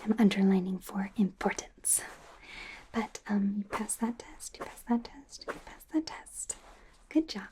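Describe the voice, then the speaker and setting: A woman playing a friendly, self-correcting werewolf doctor in an ASMR roleplay, speaking in a soft, gentle, hesitant whisper. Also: mid-range voice, lively intonation, unhurried, natural voice. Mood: gentle, attentive.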